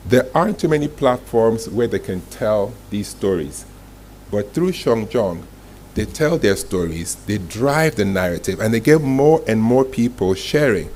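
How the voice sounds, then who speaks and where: A man's voice: fairly low pitch, animated, measured pace, a little mellow. Man giving an emphatic talk, noisy recording. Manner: emphatic storytelling, rhetorical questions, short dramatic phrases. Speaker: masterclass speaker, communications executive. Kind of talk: motivational presentation to a live audience. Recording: clearly noisy live room recording.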